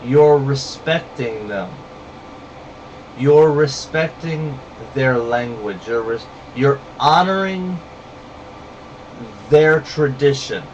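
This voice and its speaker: A man's voice: mid-range voice, lively intonation, takes it slowly, pauses often, darkish tone, distant mic, highs cut off, slight background noise. A man with a Southern US accent, ranting bluntly and saltily to camera in a heated monologue. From rural southern United States. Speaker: vlogger.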